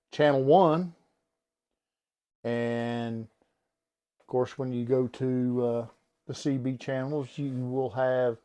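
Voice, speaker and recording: A man giving a casual product demonstration in a folksy, halting, unscripted way, as someone who works on radio gear. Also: medium pitch, mellow. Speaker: radio seller and technician demonstrating equipment.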